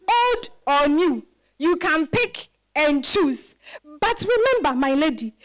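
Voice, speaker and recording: Old Ghanaian grandmother, very high swooping voice, wry, scolding, exclamatory; dramatized reading. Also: a bit bright, heavily acted, poor audio. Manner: exclamatory, probing with rhetorical questions, chuckling. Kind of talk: dramatized stage-style reading.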